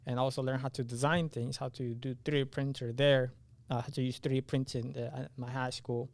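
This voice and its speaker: A man's voice: mid-range voice, flat delivery, brisk, vocal fry, dry recording. A young man from Honduras, a native Spanish speaker, giving casual interview answers and often searching for his words. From Honduras, moved to the US at nine. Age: young adult. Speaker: podcast guest, engineering student.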